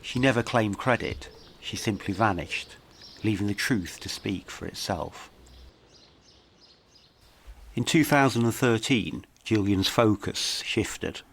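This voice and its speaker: A man reading scripted mystery narration in a measured, dramatic storytelling manner. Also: fairly low pitch, vocal fry, fairly bright tone, slight background noise. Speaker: documentary podcast narrator.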